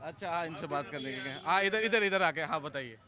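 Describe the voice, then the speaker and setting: A man's voice: a little high, brisk, a crowd in the background. A man working as a street reporter, interviewing passers-by casually with probing follow-up questions. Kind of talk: vox pop interviewing in casual Hindi. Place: outdoors on a street. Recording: outdoor street recording.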